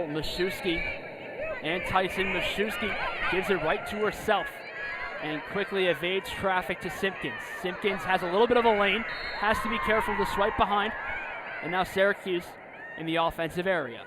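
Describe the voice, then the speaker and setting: An American man calling live lacrosse play-by-play in clipped present-tense phrases. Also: high-pitched. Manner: clipped present-tense calls, rising to shouts on goals. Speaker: play-by-play announcer. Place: outdoor sports stadium. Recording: noisy outdoor stadium with loud crowd. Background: loud crowd and outdoor noise.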